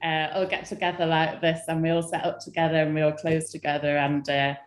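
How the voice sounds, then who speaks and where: A young woman from England, a guest designer chatting casually on a live stream, hesitant with many ums and soft laughs. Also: sits low, flat delivery, fairly quick, roomy sound.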